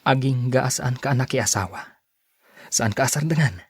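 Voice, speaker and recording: A man's voice: mid-range voice, moderate pace, slightly rough, dry recording. Lovesick male suitor played by a man, Ilocano accent, persistent and earnest, dry studio sound for radio drama. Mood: pleading, stubborn. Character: persistent, lovelorn male suitor who refuses to give up.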